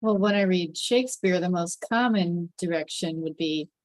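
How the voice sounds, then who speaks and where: A woman's voice: on the low side, normal speed, no room sound. A woman explaining her view conversationally in a casual group discussion.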